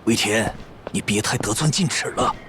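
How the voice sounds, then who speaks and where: An actor playing a suspicious underworld guard in a video game, talking in a challenging, accusatory way. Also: male voice, on the low side, moderate pace, a bit bright, slight background noise, natural voice. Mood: accusing. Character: suspicious, confrontational male Chinatown underworld guard. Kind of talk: video game dub dialogue.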